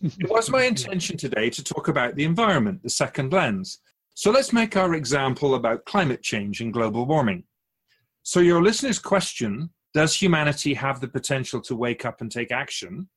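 Otherworldly Keeper male actor, radio drama: husky, expressive, philosophical mentor to humans, measured and probing. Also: medium pitch. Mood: playful, teasing. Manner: measured philosophical explaining with rhetorical questions. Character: otherworldly Keeper of souls, wise, patient, gently challenging teacher.

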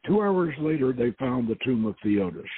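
A man reading an adventure story aloud in a dramatic narrating style, with a husky, gravelly voice and a halting delivery. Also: medium pitch, sing-song. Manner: halting, stumbles and corrects words. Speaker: host reading own novel aloud. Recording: tinny phone-line sound.

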